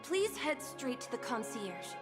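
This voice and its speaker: A woman's voice: on the high side, very animated, measured pace. A woman playing a courteous, earnest, slightly hesitant young hotel bellboy in a video game. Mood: polite, welcoming. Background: game music.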